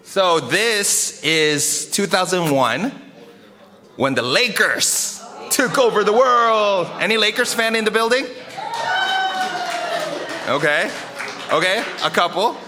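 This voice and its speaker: A man from Korea and South America preaching a casual storytelling sermon to a live congregation, animated, often restarting and repeating his phrases. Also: high-pitched, slow, long pauses. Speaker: pastor preaching.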